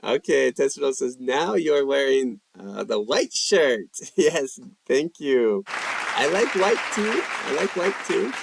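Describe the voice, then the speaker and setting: A man talks slowly and casually on a live stream, reading out viewers' questions and mulling over his answers. His voice has a heavy gravelly fry, and his pitch moves up and down a lot. The sound is close and dry, with the faint hum of a crowd behind him. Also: animated. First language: English. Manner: unhurried, reads chat questions aloud, answers with fillers. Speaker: livestream language host.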